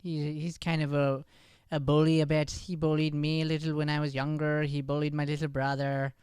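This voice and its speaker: A meek, reluctant boy, played by a man, hesitant and plain-spoken in improvised radio comedy. The voice is smooth, the sound dry. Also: fairly high pitch, completely flat delivery, fairly quick, a little mellow, no echo, natural voice. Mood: reluctant, candid. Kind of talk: improvised old-time radio comedy acting.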